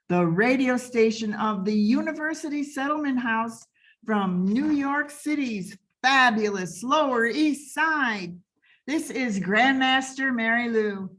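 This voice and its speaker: A woman's voice: on the high side, fairly bright tone. A woman hosting a radio show with scripted, old-time announcing.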